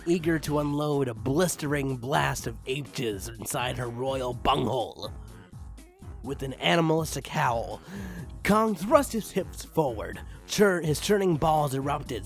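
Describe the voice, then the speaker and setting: A man reading fan fiction aloud in a theatrical, amused way, stumbling now and then. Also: a little high. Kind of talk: dramatic story reading with amused asides. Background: music behind the voice.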